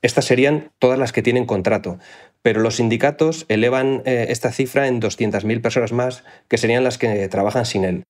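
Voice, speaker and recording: A man's voice: mid-range voice, fairly quick. A man working as an economics reporter, giving careful, explanatory interview answers with some fillers.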